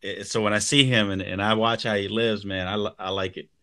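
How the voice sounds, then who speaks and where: A man's voice: on the low side, flat delivery, a little fast, sharp tone. A man hosting an interview in an informal, slangy and encouraging way, chatting casually with his guest. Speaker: show host, interviewer.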